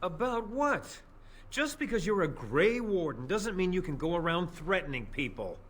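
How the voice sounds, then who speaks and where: An actor voicing a nervous, evasive young spy in a fantasy video game, stammering and defensive. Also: male voice, high-pitched, very animated, normal speed, a bit bright, exaggerated voice. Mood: pleading. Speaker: game character.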